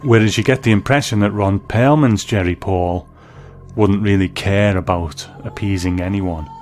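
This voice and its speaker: A man's voice: on the low side, normal speed, a little mellow. A man giving scripted review commentary as a narrator. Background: music bed.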